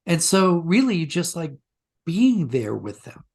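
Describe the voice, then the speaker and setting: A man with a moderately high-pitched voice speaks as an interviewed writer. He is thoughtful and discursive, telling stories conversationally and often restarting a sentence to reword it. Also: sing-song, unhurried, darkish tone, breathy edge. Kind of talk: conversational interview, reflective storytelling.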